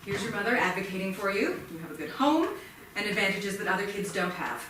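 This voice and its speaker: A woman in a staged play reading playing a stern, formal high school principal with a measured, admonishing, officious manner. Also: animated, crowd noise, natural voice. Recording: echoey theatre, distant mic, faint noise.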